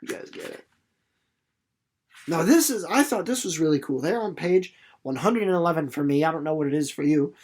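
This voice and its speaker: A man giving casual commentary on a book as a teacher, informal and a little halting as he thinks aloud. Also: on the high side, animated, a little mellow. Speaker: reader and teacher. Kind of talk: storybook narration and casual literary commentary for students.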